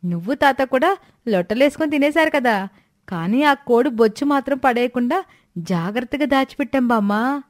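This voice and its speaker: A woman's voice: sits high, animated, moderate pace. A woman voicing a cheeky, scheming young village woman in a moral storybook tale, bold, coaxing and insistent.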